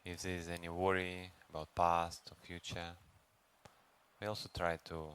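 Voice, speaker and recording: A man leads a guided meditation as a teacher, speaking gently and unhurriedly with long pauses. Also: sits low, little pitch movement, clean tone. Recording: noisy recording with ambient background sound.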